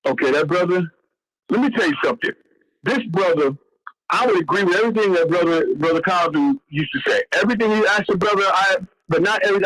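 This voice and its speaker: A man in his sixties with a fairly high voice, talking in a loose, rambling way. He swears freely and trips over names mid-sentence. The sound has a broadcast radio feel. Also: a bit bright, low-quality recording. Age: 60s. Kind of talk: casual chat.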